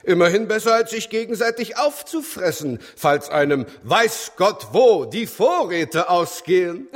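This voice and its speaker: A haughty, mocking gentleman of a learned English academy in a German radio play. His voice is high and swoops theatrically with condescension, ringing in a long hall reverb. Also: sing-song, unhurried, heavily acted. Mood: mocking amusement. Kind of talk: German radio drama acting.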